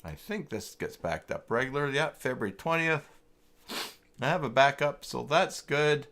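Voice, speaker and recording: A man hosting a casual, unscripted livestream tutorial, talking haltingly as he thinks aloud through each step. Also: mid-range voice, lively intonation, fairly bright tone. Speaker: livestream host and web tutorial presenter.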